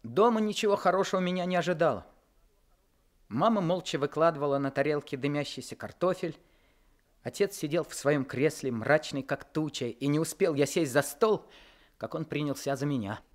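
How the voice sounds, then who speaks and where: Actor as teen boy narrator, high, earnest; old radio drama. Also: normal speed, lightly acted. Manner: earnest storytelling. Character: teenage boy narrating his story, restless. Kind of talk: 1960s Soviet radio drama acting.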